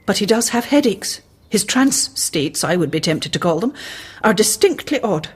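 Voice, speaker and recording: An actress in a radio drama playing a cautious, earnest hospital colleague who speaks formally and precisely, with prim reproof. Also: female voice, mid-range voice, sing-song, moderate pace, husky. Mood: grave, urgent.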